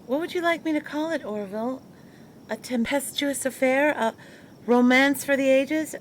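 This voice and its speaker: A woman's voice: high voice, moderate pace, a little hiss or hum, close to an everyday voice. A woman playing a prim 1920s wife. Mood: exasperated. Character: prim married 1920s woman, his former flame. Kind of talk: 1920s period audio drama.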